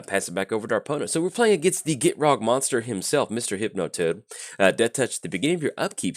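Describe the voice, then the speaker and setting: A man with a raspy, not yet warmed-up voice, casually narrating a card game as a channel host in an upbeat, chatty way. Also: low-pitched.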